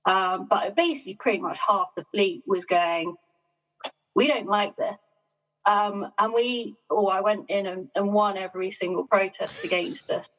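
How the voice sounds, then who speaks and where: A woman being interviewed on a sailing podcast, talking in a measured, self-deprecating way and laughing often. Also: medium pitch, fairly bright tone. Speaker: sailing guest. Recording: dull lo-fi sound.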